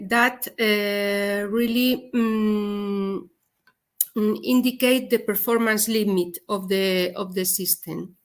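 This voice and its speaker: A woman with a Spanish accent giving a technical webinar presentation, speaking haltingly with frequent pauses. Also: medium pitch, steady tone. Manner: hesitant, halting non-native delivery with frequent pauses. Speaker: presenter, head of a dosimetry service.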